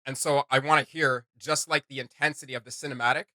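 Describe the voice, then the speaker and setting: A man with a deeper, very sharp-edged voice delivers casual reaction commentary. He is opinionated and reworks his thoughts mid-stream. The sound is dry and close. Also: fairly even intonation, fast talker, dry recording. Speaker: reaction video host.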